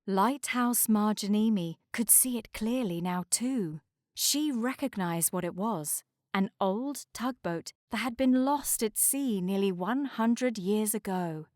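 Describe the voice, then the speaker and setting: A woman reads a children's storybook as narrator. Her pace is slow and her pitch sits in the middle for a woman. The recording is dry, with no room sound. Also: animated, a little mellow, dry recording. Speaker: storybook reader. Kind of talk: scripted children's story narration, read aloud.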